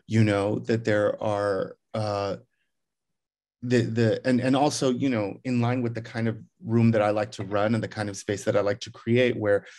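A man's voice: fairly low pitch, little pitch movement, moderate pace, a little mellow. A middle-aged man, a theater director, talking at home over a video call in a reflective, rambling way with many restarts. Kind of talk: casual video call conversation. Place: living room at home.

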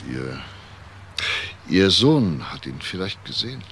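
An actor playing a probing, businesslike police inspector in a crime radio drama, asking halting, clipped questions. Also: male voice, sits low, big pitch swings, vocal fry, fairly bright tone, a little hiss or hum, exaggerated voice. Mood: pressing, halting.

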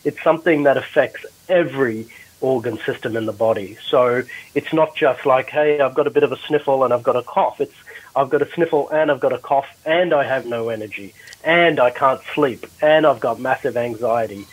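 A man's voice: mid-range voice, moderate pace, husky, low-quality recording. A male doctor explaining a health topic in a recorded panel discussion, with frequent restarts. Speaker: doctor presenter. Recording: replay of a Clubhouse audio room.

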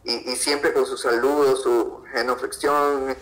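A man's voice: mid-range voice, flat delivery, measured pace, fairly bright tone, low-quality recording. A man with an Ecuadorian accent, answering conversationally as an interview guest. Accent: Ecuadorian Spanish. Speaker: podcast guest, freelance photographer.

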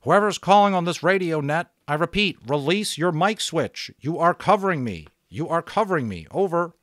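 A man's voice: on the high side, lively intonation, normal speed, a bit bright, dry recording, clean studio sound, voice pushed up. A man voicing an adult male radio operator, speaking in clipped, procedural radio talk. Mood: businesslike.

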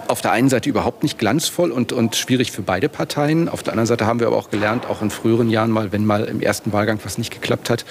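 A middle-aged man, a political reporter, giving a live on-air interview in a halting, reflective way with repeated words. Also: fairly low pitch. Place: large indoor parliament foyer. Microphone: handheld broadcast microphone. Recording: clear background noise of busy hall.

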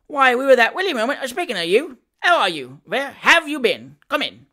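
A man's voice: sits high, lively intonation, brisk, creaky, fairly bright tone, heavily acted. A man voicing a gleeful, teasing young manservant with jovial, mock-friendly chatter in a storybook reading.

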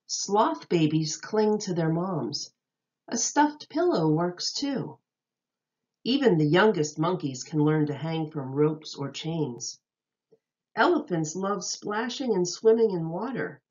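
A woman with a slightly low voice reads a children's book aloud like a teacher. She pronounces each phrase carefully and deliberately for young listeners. The recording is a little dull and lo-fi. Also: darkish tone.

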